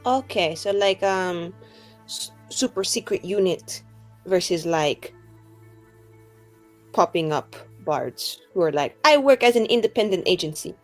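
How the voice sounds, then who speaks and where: A woman's voice: on the low side, animated, a little slow, faint music behind. A woman playing a friendly, naive young female adventurer in a tabletop game, rambling casually in very colloquial phrasing. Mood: curious, casual. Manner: rambling, casual, filler-heavy valley-girl phrasing. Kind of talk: improvised tabletop roleplay dialogue.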